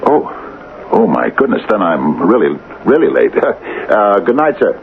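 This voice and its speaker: Brooding small-town mayor, old radio play. Gravelly, halting, repetitive, doom-minded; muffled, noisy vintage recording. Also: male voice, fairly low pitch, sing-song, music in the background. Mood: distracted, evasive. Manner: halting, brooding, repeats himself. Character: troubled, fatalistic small-town mayor, fretful husband. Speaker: actor in a radio drama. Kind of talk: vintage radio drama acting.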